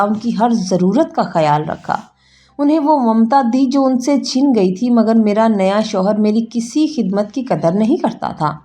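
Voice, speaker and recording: A woman's voice: mid-range voice, warm tone. A woman reading a scripted, emotional first-person story as its narrator. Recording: music bed behind narration. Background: background music.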